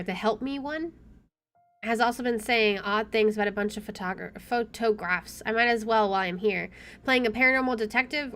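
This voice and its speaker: A woman's voice: a little high, a bit bright. A woman let's player reading a visual novel aloud expressively with chatty asides, game music playing behind her.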